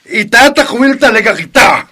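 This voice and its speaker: A man's voice: very high voice, animated, normal speed, pushed and bright, heavily acted. A man acting a stern father scolding his son in a family drama, with a Filipino accent. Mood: angry, threatening. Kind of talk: acted family drama dialogue.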